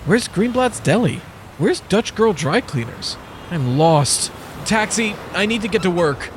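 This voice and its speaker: A man's voice: sits high, animated, unhurried. A man playing a lonely, sickly and stubborn night-shift worker in a scripted comic dub. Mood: confused, anxious. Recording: clearly noisy background.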